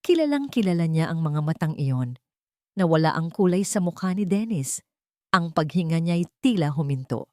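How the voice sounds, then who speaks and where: A woman's voice: on the low side, expressive, moderate pace, dark tone, no room sound, studio quality. A woman storyteller reading a scripted audiobook in a dramatic narrative style.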